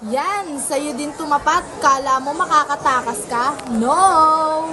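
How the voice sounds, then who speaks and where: A woman's voice: high-pitched, slow pace, very noisy. A young Filipino woman playing an exasperated, bantering teenage schoolgirl in an amateur radio drama, slangy and scolding. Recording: crowd chatter behind the voice.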